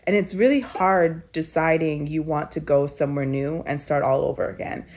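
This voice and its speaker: Reflective young woman, low voice. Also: moderate pace, darkish tone. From Kenya. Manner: reflective, conversational, many "like" fillers. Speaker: storyteller guest. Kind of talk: personal storytelling interview.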